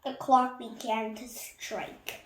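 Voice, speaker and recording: Female storyteller, high girlish pitch, slow halting reading, self-corrections, echoey room. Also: little pitch movement. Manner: halting, stumbling over words and correcting herself. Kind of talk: storybook read-aloud with hesitations and acted dialogue.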